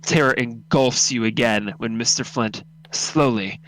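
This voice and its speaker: A man's voice: mid-range voice, measured pace, a bit bright, rough recording. A man reading a horror story aloud as narrator, dramatic, intense and suspenseful.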